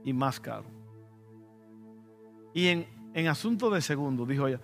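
A man's voice: medium pitch, expressive, normal speed, creaky, faint music behind. A male pastor with a Dominican Spanish accent, preaching a conversational sermon with personal stories and sudden emphatic bursts. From Dominican Republic.

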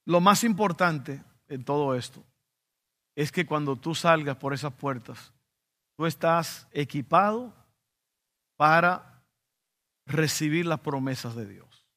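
A man's voice: a little high, slow pace, long pauses, sharp tone. A male pastor with a Dominican Spanish accent, preaching a conversational sermon with personal stories and sudden emphatic bursts. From Dominican Republic.

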